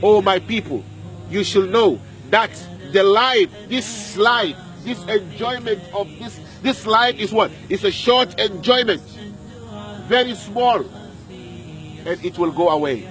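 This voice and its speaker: A man's voice: sits high, takes it slowly, a bit bright, faint music behind, poor audio. A man preaching a sermon at a graveyard, repeating lines and putting questions to his listeners, with Arabic verses in between. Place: outdoor graveyard.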